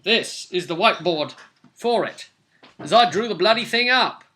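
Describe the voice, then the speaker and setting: A man's voice: sits high, animated, moderate pace, sharp tone. A man in his twenties chatting casually as host and game master about a tabletop game session, recorded indoors on a webcam. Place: small home room, indoors. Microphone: webcam at arm's length.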